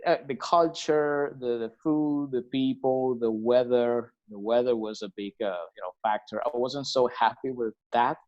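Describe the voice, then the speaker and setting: A man from Venezuela, a musician guest on a podcast, talking in a relaxed, hesitant way with many fillers and restarts. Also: medium pitch, moderate pace, hardly any pauses. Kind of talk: casual conversational interview. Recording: slightly dull lo-fi sound.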